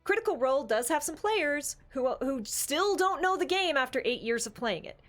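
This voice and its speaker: A woman co-hosting a casual tabletop gaming discussion, talking in an animated, opinionated, conversational way. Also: sits high, moderate pace. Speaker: podcast co-host.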